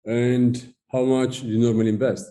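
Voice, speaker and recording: A man's voice: medium pitch, level tone, moderate pace, dark tone. A man hosting an interview, politely welcoming his guest and asking questions. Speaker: podcast host.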